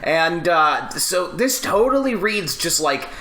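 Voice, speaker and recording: A man hosting a casual podcast, talking in an animated, crude, joking way and swearing freely. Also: fairly high pitch, slow pace. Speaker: podcast host. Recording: roomy echo, faint background noise.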